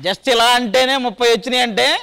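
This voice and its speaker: A man's voice: very high-pitched, steady tone, quick pace, creaky, bright, forceful tone, clear, resonant tone. A man with a Telugu accent doing comic stage banter as a team leader on a TV show. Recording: music behind stage banter.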